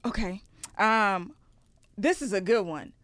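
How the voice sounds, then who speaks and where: Bright-voiced young woman hosting casual chat. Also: mid-range voice, expressive, no echo. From Houston, Texas, raised in Ghana. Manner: playful, quick quiz questions, then reflective storytelling. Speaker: host.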